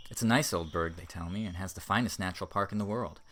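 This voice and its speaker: A man's voice: deep voice, fast, fairly bright tone, slight background noise. A man playing an easygoing, earnest young suitor in an audiobook, casual and sincere. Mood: mild, friendly. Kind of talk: audiobook character acting.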